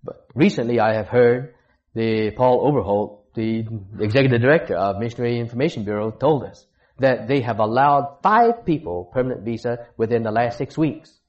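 A man from Texas preaching a sermon in a church as a missionary, halting and restarting as he searches for words. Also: mid-range voice, expressive, muffled recording.

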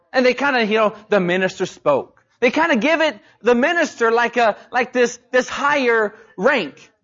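Male preacher, high voice, emphatic sermon delivery, dull lo-fi recording. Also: fairly bright tone. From Mexico. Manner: emphatic, rising to high pitch on key points. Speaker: missionary preacher.

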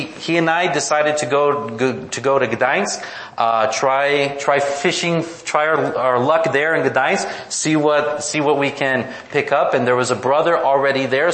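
A man's voice: medium pitch, fairly bright tone. A man who works as a missionary preacher, giving a rambling, self-deprecating report to a church congregation with many restarts. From born in the United States, raised in Mexico. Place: church meeting room. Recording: roomy hall echo.

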